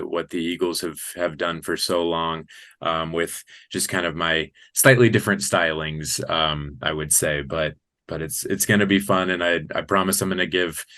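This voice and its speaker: A man's voice: low voice, sharp tone. A man in his thirties from Colorado with an American accent, talking enthusiastically and earnestly as an announcer being interviewed. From Colorado, United States. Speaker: sports public-address announcer, interview guest. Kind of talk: conversational interview.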